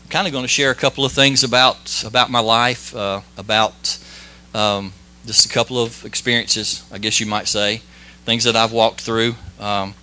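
A man delivers a homespun sermon, his voice bright and forward. He talks plainly and modestly, circling back over his words with frequent restarts and filler sounds. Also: fairly low pitch, fairly even intonation. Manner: halting and folksy, many restarts and fillers. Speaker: lay preacher. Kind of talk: informal church sermon with prayer.

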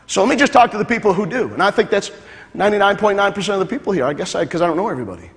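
A man preaching a sermon to a congregation as pastor, building to loud, fervent repetition and joking with the crowd. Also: high voice, very animated, racing. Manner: jokes with the congregation. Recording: long hall reverb.

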